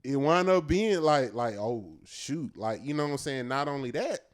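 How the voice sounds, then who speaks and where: A man with a fairly low-register voice, co-hosting a podcast and telling a story in a loose, earnest way. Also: expressive, normal speed. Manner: loose and earnest, peppered with "man" and "you know what I'm saying". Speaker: podcast co-host. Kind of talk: casual storytelling chat.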